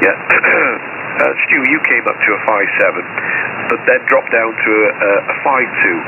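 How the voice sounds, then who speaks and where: A man's voice: lowish voice, moderate pace. A man chatting casually as an amateur radio operator, heard over a shortwave radio link. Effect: shortwave radio transmission. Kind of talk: casual ham radio chat. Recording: tinny shortwave radio, loud static noise.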